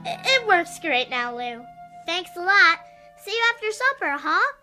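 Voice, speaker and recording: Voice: very high-pitched, sing-song, measured pace, pushed and bright, faint music behind, heavily acted. A performer playing a sweet, trusting little girl in a radio drama, childlike and eager. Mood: puzzled, playful.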